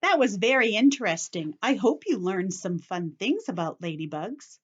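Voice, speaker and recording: A woman's voice: medium pitch, animated, moderate pace, slightly rough, highs cut off. A woman teacher leading story time for young children, speaking in simple short phrases with careful emphasis and addressing the children directly. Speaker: children's teacher reading a picture book.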